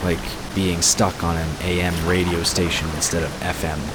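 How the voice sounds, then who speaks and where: A man narrating a scripted fantasy story in a measured storytelling manner. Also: sits low, flat delivery. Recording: noisy, with water sound effects behind. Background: rushing water ambience.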